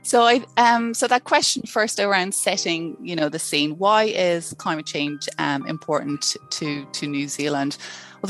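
Woman, Irish accent, low pitch, hesitant interview talk, faint background music. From rural Ireland. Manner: hesitant, many ums and restarts. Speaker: scientist guest. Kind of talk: interview answers.

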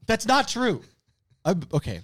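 Animated man co-hosting a casual podcast. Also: high voice, measured pace, dry recording, clean studio sound. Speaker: podcast co-host. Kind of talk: casual banter.

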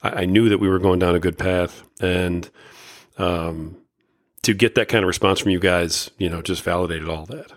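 A middle-aged man from Indiana, hosting a casual solo podcast in a folksy, earnest way, his voice a bit raspy today. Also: low voice, steady tone, normal speed, no echo, studio quality. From Indiana, USA. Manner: folksy, earnest, many fillers and restarts. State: slightly raspy voice. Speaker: podcast host and life coach.

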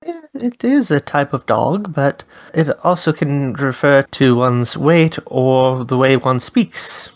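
A woman playing a dream-summoning host who asks friendly, measured questions. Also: very deep voice, animated, exaggerated voice. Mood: curious. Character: Rue, a dream-summoning interviewer. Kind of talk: tabletop character interview.

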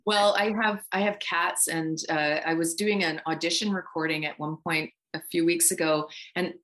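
A woman's voice: deep voice, fairly even intonation, moderate pace, fairly bright tone. A middle-aged woman, a voice actor, talking informally as the guest in a casual interview. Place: home recording space, remote.

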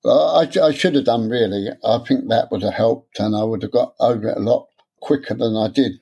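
Man, stammer, halting, repeats words, mellow dark voice, interview. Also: mid-range voice, gravelly, breathy edge, muffled recording. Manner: halting, repeats words, soft-spoken, candid. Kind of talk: personal interview.

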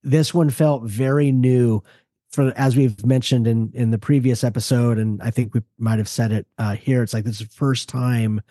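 A man hosting a podcast, chatting casually in a halting, reflective manner. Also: medium pitch, steady tone, warm tone, no room sound, studio quality. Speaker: podcast host. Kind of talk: casual chat.

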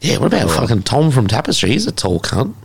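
Man, Australian accent, podcast host; lively swinging pitch, sweary laughing storyteller. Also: fast talker, vocal fry, husky. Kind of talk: casual chat.

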